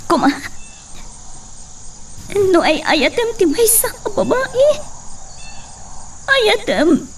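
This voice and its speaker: A woman acting a heartbroken, distrustful young woman in a romantic drama, with drawn-out emotional delivery. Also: very high voice, very animated, distant mic, noisy background, exaggerated voice. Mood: anguished. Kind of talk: Ilocano romantic radio-style drama acting.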